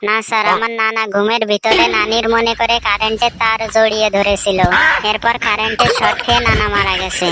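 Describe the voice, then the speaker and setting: A woman's voice: fairly high pitch, little pitch movement, a little fast, very noisy, close to an everyday voice. A woman voicing a cheeky, fibbing cartoon schoolboy, sly and whiny as he makes excuses. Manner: sly, whining, pleading. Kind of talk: comedy cartoon dialogue.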